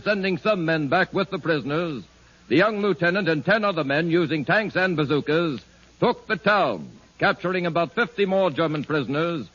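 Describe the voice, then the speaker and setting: A man's voice: on the high side, a bit bright, slightly rough. A man reading a scripted announcement in 1940s radio style. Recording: muffled 1940s radio recording.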